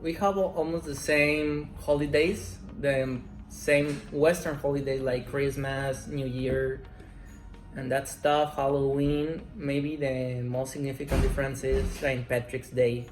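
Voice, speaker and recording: A man in his twenties, a Spanish speaker, answering interview questions in slow, careful English. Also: medium pitch, level tone. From Mexico. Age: 20s. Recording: roomy echo, faint music.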